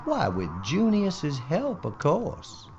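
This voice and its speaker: A man's voice: a little high, huge pitch swings, slow, heavily acted. An actor playing a taunting male cannibal outlaw villain in a Western horror audio drama, drawling and relishing every threat. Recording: muffled lo-fi with hiss.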